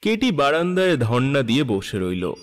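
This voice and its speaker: A man narrating a literary story in an expressive, measured, dramatic style. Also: medium pitch, in a large hall.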